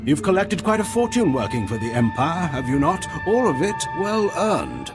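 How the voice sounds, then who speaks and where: A bossy haughty empire admiral, game cutscene, very expressive pitch, loud music behind. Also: male voice, normal speed. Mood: stern, haughty. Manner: formal, authoritative, haughty. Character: haughty male imperial admiral, commanding and ruthless. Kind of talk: video game cutscene acting.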